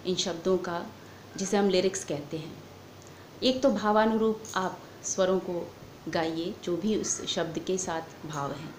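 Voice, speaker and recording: A woman giving a formal, measured lecture as a singing teacher. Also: fairly low pitch, steady tone, darkish tone. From India. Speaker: music lecturer, vocal teacher. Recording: echoey room with faint background noise.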